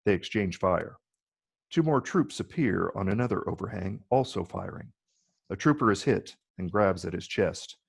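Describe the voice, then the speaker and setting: Male narrator for a script reading: measured, descriptive, husky with a creaky low edge. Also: expressive. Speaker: narrator reading stage directions. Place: virtual read, recorded remotely.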